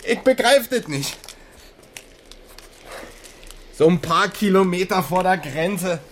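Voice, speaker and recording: An actor playing a shaken middle-aged long-haul trucker in a radio crime drama, speaking colloquial with a tinge, agitated and exclamatory. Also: male voice, sits high, expressive, normal speed, fairly bright tone. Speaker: truck driver. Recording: noisy 1980s radio play recording.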